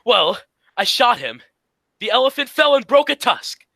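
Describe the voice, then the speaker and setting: A woman's voice: a little high, very animated, a little slow, sharp tone, no echo, heavily acted. A woman playing a blustery, exasperated male straight man in an old-time radio comedy, scolding and repeating phrases emphatically. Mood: boastful.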